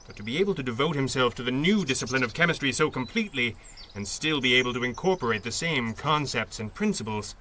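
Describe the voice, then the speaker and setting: A bright, crisp-edged voice at a middling pitch. It is a principled, compassionate masked hero in an old-style radio adventure, reasoning aloud with earnest, articulate calm. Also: male voice, fast talker, a little hiss or hum. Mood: thoughtful, earnest. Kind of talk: 1930s-set radio drama acting.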